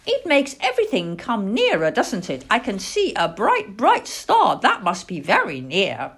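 A woman's voice: mid-range voice, huge pitch swings, a little slow, a bit bright, slightly rough, full character voice. A woman voicing an eager, naive little male owl chick with a chirpy, childlike, inquisitive way of talking. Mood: curious, excited.

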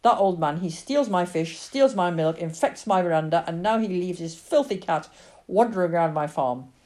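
A woman's voice: lowish voice, lively intonation, normal speed, darkish tone, close to an everyday voice. A woman voicing a stern, scornful farmer father in a story, grumbling and scolding emphatically. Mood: angry, scornful.

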